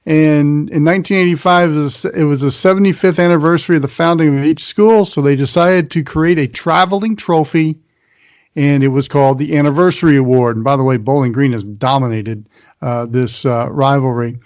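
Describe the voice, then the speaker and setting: A man's voice: on the high side, brisk, dry recording. An American man hosting a sports show, running through college football news and previews. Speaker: sports radio show host. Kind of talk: sports round-up, partly scripted narration.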